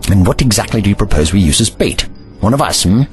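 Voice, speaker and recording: A man's voice: fairly low pitch, expressive, fast talker, faint music behind, natural voice. A man playing an older, commanding and protective time traveler in an audio drama, speaking formally and precisely in an avuncular way. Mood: measured, wry. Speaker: actor in a sci-fi audio drama.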